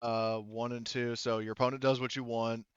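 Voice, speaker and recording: A man narrating a tabletop game as game master, improvising in a halting, hesitant, rambling way. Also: medium pitch, little pitch movement, a bit bright, smooth, no echo, lo-fi sound.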